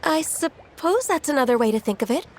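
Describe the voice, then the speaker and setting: A woman voicing a gentle, tactful young woman in a game. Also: sits high, lively intonation, brisk, soft background music. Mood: kind. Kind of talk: game voice acting.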